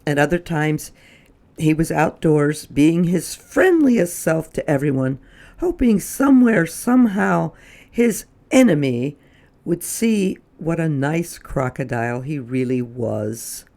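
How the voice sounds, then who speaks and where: Picture-book narrator, mid-pitched with gravelly fry, expressive and deliberate read-aloud delivery. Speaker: storyteller.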